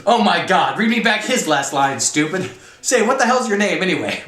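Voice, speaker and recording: Man acting out a furious shouting colonel, high-strained. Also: sing-song, normal speed, roomy sound. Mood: enraged, threatening. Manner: shouting, exasperated, badgering interrogator. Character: furious, bullying, big military colonel barking at cadets.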